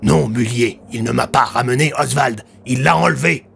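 A man's voice: medium pitch, normal speed, gravelly, fairly bright tone, faint music behind. A man voicing an exasperated, curt police commissioner in an audio story, speaking impatiently. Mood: angry, restrained.

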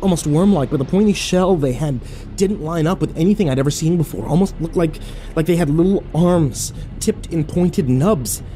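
A man playing an overwhelmed, foul-mouthed young man who narrates his ordeal haltingly in a horror audio drama. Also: on the high side, mellow, a little hiss or hum, lightly acted. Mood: shaken, bewildered. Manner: halting, self-interrupting, swearing, incredulous.

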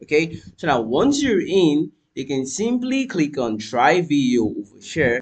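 Lively young man walking through a tutorial. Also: mid-range voice. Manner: lively, addresses viewers as "you guys", spells out letters while typing. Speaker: tutorial host. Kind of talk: screen-recorded software tutorial. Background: keyboard typing.

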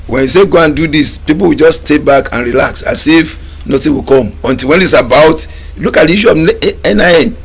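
Middle-aged Nigerian man, TV studio guest, gravelly and husky, slightly high pitch, emphatic. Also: brisk. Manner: emphatic, repeats words while gathering thoughts. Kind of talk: studio talk show discussion. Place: TV studio lounge set.

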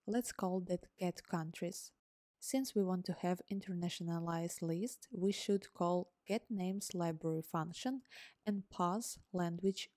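A woman whose first language is Ukrainian, calmly narrating a scripted coding tutorial step by step as host. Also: lowish voice, no echo, studio quality. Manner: calm, step-by-step explaining. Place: voice-over on screen recording.

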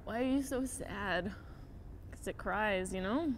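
A young woman comedian who is a guest on a mock talk show in a subway car, giving absurd answers in a slow deadpan drawl. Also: medium pitch, slight background noise. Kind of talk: improvised comedy interview. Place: subway car, train moving.

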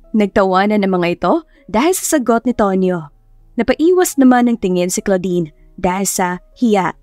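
A woman's voice: medium pitch, a little mellow, no room sound. A woman narrating a scripted romance audiobook story, close to the microphone.

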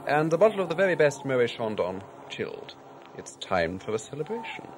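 An actor playing a scheming villain in an audio drama. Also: male voice, medium pitch, big pitch swings, a little fast, over music, lightly acted. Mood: gloating. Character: scheming villain, faking a weak, dying addict's despair.

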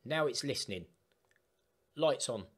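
A man chatting casually through a step-by-step software tutorial for gamers. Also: medium pitch, expressive, unhurried. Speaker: gaming tutorial host. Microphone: desktop microphone, voice-over of screen capture.

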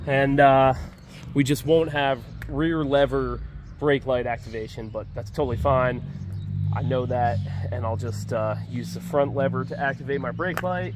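A man's voice: medium pitch, slight background noise. A man in his thirties talking casually to an arm's-length camera outdoors on a motorcycle trip, rambling with easy fillers, a little stuffed up. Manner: easygoing, rambling, frequent "uh" and praise asides. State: stuffed up from allergies. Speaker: motorcycle travel vlogger. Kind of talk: casual on-the-road narration. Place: outdoors by roadsides and a riverbank. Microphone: action camera or phone at arm's length, some helmet-mounted.

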